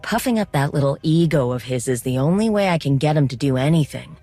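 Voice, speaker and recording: An actress playing a determined, wry droid mechanic in a video game, speaking informally with coaxing, teasing encouragement. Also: female voice, low-pitched, lively intonation, fast, warm tone, faint music behind. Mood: wry, explanatory.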